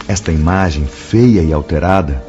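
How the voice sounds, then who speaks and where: A man with a Brazilian accent playing a calm, authoritative spirit mentor who explains solemnly in a radio drama. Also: deep voice, close to an everyday voice. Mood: solemn, explanatory. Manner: didactic, solemn, measured. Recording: muffled radio drama with music underneath.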